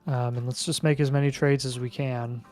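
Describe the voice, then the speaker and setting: A man in a casual livestream runs through his advice in brisk, clipped phrases. His voice sits at an ordinary middle pitch. Faint music hums behind him. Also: level tone, darkish tone. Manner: brisk, listing terse advice. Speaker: co-host.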